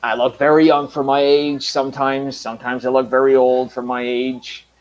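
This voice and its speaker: Man, thirties, channel host, rambling live chat, mid pitch, uh and um. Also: level tone, moderate pace. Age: 30s. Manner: rambling, many fillers and restarts. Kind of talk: casual live stream chat.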